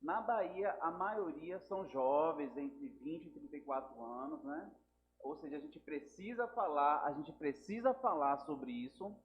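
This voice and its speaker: A man's voice: fairly high pitch, animated, unhurried. A man co-hosting a podcast, asking his guest earnest questions with a touch of humor. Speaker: podcast co-host. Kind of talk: casual podcast interview. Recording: echoey room, phone-like sound.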